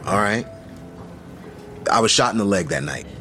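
A man's voice: lowish voice, lively intonation, normal speed, bright, forceful tone, faint music behind. A man playing an easygoing but guarded survivor in an audio drama, talking in a casual, understated way. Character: easygoing, guarded male shooting survivor, an illustrator. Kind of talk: naturalistic audio drama dialogue.

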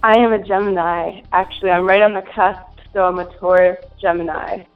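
A woman's voice: fairly low pitch, fairly even intonation, brisk, clean tone, phone-quality sound, faint music behind. A twenty-year-old woman from Minnesota, answering interview questions in a hesitant way with many fillers and giggles. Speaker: interview guest.

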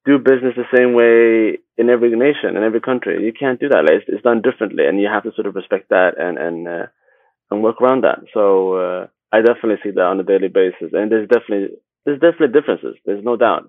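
A man from Sweden talking casually as an interview guest, haltingly, often repeating words and rephrasing as he goes. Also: fairly low pitch, no echo, narrow, tinny audio. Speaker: interview guest, agency founder.

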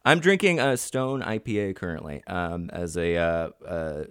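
Low-voiced, dry-witted man co-host. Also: expressive, normal speed, smooth, dry recording. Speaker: podcast co-host. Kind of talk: casual livestream chat. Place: in-laws' home, Palm Springs.

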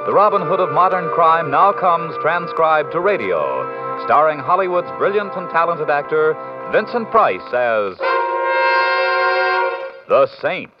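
Old-radio announcer, male, highish and very lively, muffled sound with loud fanfare. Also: unhurried, loud music behind the voice. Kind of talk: golden-age radio announcing. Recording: muffled vintage radio recording.

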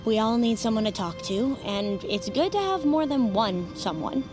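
A woman acting as a supportive, irreverent teenage girl in an audio drama. Her voice sits fairly high and swings freely, with casual, coaxing, emphatic phrasing. Music plays clearly behind her. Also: expressive, moderate pace, lightly acted. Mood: reassuring.

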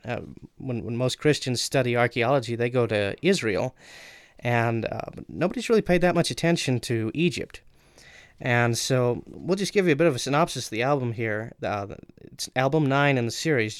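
A man's voice: medium pitch, smooth, dry recording, studio quality. A young man, a guest writer, recounting his work in a casual, informal way.

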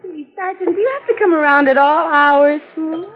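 An actress playing an irritable, whiny widow of about thirty in a 1950s radio drama. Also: female voice, high-pitched, normal speed, natural voice. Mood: annoyed, whining. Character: irritable widow around thirty, glamorous and unwelcoming. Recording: tinny, band-limited 1950s radio.

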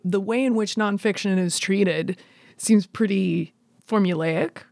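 A woman in a casual, analytical discussion, thoughtful and measured, rephrasing as she goes. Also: mid-range voice, creaky, darkish tone, no echo, clean studio sound. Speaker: podcast discussion participant.

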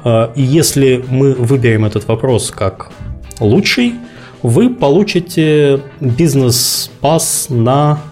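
A man co-hosting a live podcast, laying out contest rules in a measured, explanatory way with light jokes. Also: mid-range voice, lively intonation, darkish tone, soft background music. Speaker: podcast co-host.

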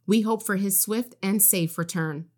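An actress playing a composed female radio news correspondent, reading a serious report in a measured, formal delivery. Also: mid-range voice, a little mellow, lightly acted.

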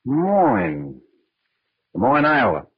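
Brisk, commanding G-man, husky and animated, 1940s radio style on a dull vintage recording. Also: medium pitch, exaggerated voice. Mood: intent, deducing. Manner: brisk, authoritative. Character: businesslike, decisive male FBI special agent. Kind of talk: 1940s radio crime drama acting. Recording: muffled old-time radio recording.

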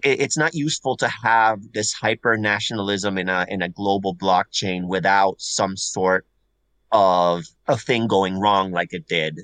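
A man's voice: lowish voice, pushed and bright, dry recording. A man from Puerto Rico whose first language is Spanish, a guest in a casual podcast interview, talking in a rambling way with restarts and repeated words. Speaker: guest artist.

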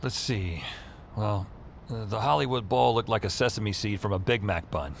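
An actor playing a weary, wry urban-fantasy hero, narrating in the first person and talking in a clipped hard-boiled way. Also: male voice, on the low side, moderate pace, vocal fry, a little hiss or hum. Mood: relieved, wry. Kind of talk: first-person audio drama narration and dialogue. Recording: music bed under voice.

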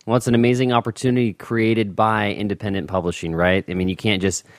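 Low-voiced male podcast host, dry sound. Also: level tone, quick pace, no room sound. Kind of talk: conversational interviewing.

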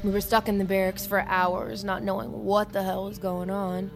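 An actress playing a cocky, irreverent young army recruit in a naturalistic audio drama, sardonic and flippant. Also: female voice, medium pitch, flat delivery, moderate pace, music in the background, close to an everyday voice. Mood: wry, teasing. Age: young adult.

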